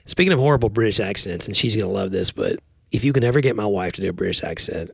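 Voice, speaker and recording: A man's voice: fairly low pitch, lively intonation, fast talker, vocal fry, husky, no echo. An American man in his forties chatting casually as a podcast co-host, rambling and joking, close on the microphone. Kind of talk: casual chat. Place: small home room, indoors. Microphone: close podcast microphone on boom arm.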